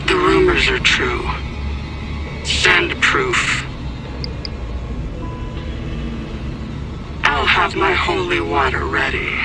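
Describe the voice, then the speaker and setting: Gravelly, slow, sinister vampire hunter. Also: male voice, sits high, exaggerated voice. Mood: grim, menacing. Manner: slow, grandiose, ominous pronouncements. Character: sinister zealous vampire hunter vowing holy vengeance. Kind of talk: audio drama acting.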